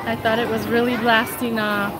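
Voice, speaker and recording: A woman's voice: on the high side, little pitch movement, normal speed, heavy background noise. A woman with a slightly nasal voice, getting over a cold, chatting casually and laughing often as she walks through a crowded theme park, filming with a handheld phone. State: recovering from a cold, a little nasal. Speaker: vlog host. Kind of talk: casual live-stream chat while walking. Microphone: handheld phone camera while walking. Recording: loud crowd and park music behind. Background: crowd chatter and park music.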